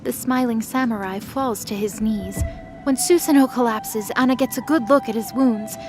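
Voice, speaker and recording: A woman's voice: a little high, moderate pace, music in the background, low-quality recording. A woman reading dramatic scripted narration for a fantasy audio drama.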